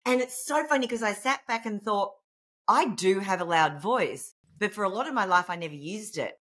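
A middle-aged woman with a naturally loud voice, hosting a relaxed interview from home over a webcam. She talks in an animated, expressive way, warm and chatty, and laughs easily. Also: mid-range voice, normal speed. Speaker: podcast host. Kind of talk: conversational interview over video call. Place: indoor home room. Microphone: video call webcam.

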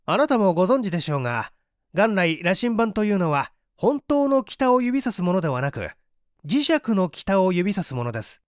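A man's voice: sits high, sing-song, moderate pace, no echo, clean studio sound. A man reading the part of an assured detective scientist in an audiobook, patiently explaining his deductions in an articulate, didactic way. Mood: patient, instructive.

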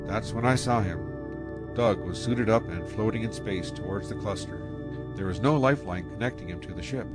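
A man's voice: fairly low pitch, moderate pace, loud music behind the voice, natural voice. An actor playing a dutiful male spaceship captain who also narrates, earnest and at times frantic. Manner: earnest, rising to frantic shouting. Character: male ship captain Ray, dutiful, anxious. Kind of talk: audio drama acting and first-person narration.

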